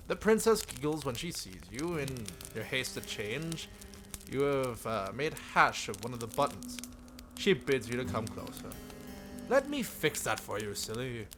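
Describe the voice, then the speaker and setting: A man reading story text aloud live, with amused casual asides. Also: mid-range voice. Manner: amused, breaks into chuckles and side remarks. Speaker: streamer reading a visual novel aloud.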